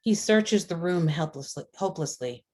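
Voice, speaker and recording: A woman with a deep-set voice narrates a screenplay. She reads scene headings and action lines as the stage-directions reader at a table read. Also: expressive, moderate pace, a little mellow.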